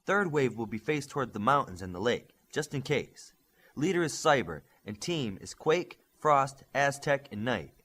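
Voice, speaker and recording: A man's voice: mid-range voice, big pitch swings, moderate pace, fairly bright tone. A man voicing a young, earnest superhero squad leader in an audiobook, giving orders in an emphatic briefing style. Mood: firm, instructive. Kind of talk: audiobook character dialogue.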